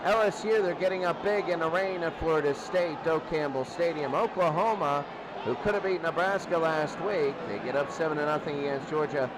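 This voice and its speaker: A man's voice: a little high, fairly quick. A man calling football play-by-play for radio in short, clipped phrases. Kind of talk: sports radio commentary. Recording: noisy radio broadcast with crowd din. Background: stadium crowd noise.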